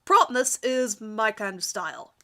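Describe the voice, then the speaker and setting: A woman doing an amateur dramatic reading of a first-person story in an expressive, theatrical manner. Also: a little high, a little slow. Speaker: amateur reader of her own fan fiction.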